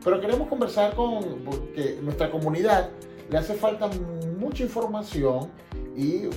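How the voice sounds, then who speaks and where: A woman's voice: medium pitch, animated, moderate pace. A woman hosting a podcast, interviewing her guest in a conversational way. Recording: echoey room with background music.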